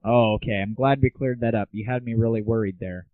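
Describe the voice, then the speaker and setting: A man's voice: on the low side, steady tone, fairly quick, dry recording. Young man hosting over tinny, phone-like audio. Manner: irreverent, sarcastic, slangy. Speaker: internet radio show host. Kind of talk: casual gaming monologue. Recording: tinny, phone-like 1990s internet audio, roomless.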